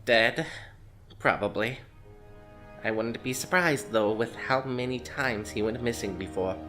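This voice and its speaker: A man's voice: medium pitch, measured pace, pushed and bright, faint music behind. Man playing a chatty, evasive middle-aged grandmother. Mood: evasive, casual. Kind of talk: audio drama acting.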